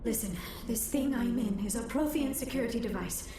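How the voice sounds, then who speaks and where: A woman's voice: on the high side, fairly quick, close to an everyday voice. A woman playing an anxious, earnest young alien archaeologist in a video game, speaking in precise, formal phrases. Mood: anxious, urgent. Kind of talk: video game cutscene voice acting. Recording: echoey, distant, faint background noise.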